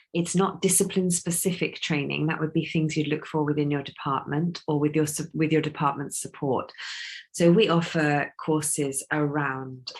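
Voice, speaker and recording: A woman, croaky and a bit scratchy from a cold, giving a measured recorded welcome talk as a university training coordinator, with frequent ums and restarts. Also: deep voice. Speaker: researcher development coordinator.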